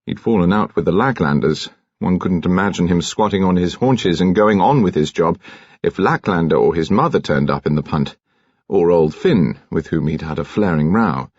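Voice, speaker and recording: Deep british guy reading a crime audiobook, dramatic, dull muffled recording. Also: normal speed, a little mellow, dry recording. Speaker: audiobook narrator. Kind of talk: scripted dramatic narration of a detective novel.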